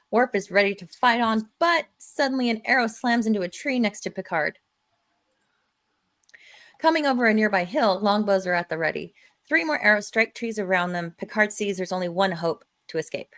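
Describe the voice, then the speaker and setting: A woman's voice: medium pitch, moderate pace, a bit bright. A woman hosting a live script read, narrating the scenes in between casual chat.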